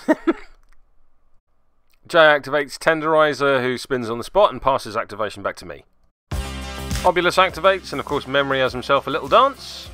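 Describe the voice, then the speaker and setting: Bright, crisp-voiced man narrating a tabletop game. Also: medium pitch, no echo, studio quality. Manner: wry, playfully mock-dramatic asides. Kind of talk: semi-scripted play-by-play narration of a miniatures game.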